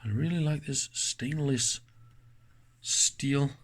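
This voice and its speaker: A man's voice: medium pitch, slow pace. A man gives a casual, hands-on technical demonstration in an informal, enthusiastic manner. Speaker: host of an electronics teardown channel.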